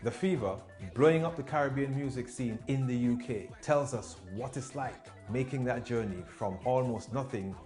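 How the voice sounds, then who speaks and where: A man's voice: mid-range voice, moderate pace, hardly any pauses, darkish tone. Male news magazine presenter over music. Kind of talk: scripted news magazine narration. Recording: music bed under voice.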